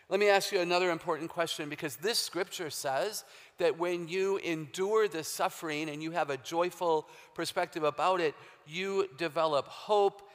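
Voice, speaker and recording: A woman with a very low, deep voice giving an emphatic, humorous faith talk as a guest speaker, quizzing a live audience in a large hall. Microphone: handheld or stage microphone. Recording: long hall reverb, live stage talk.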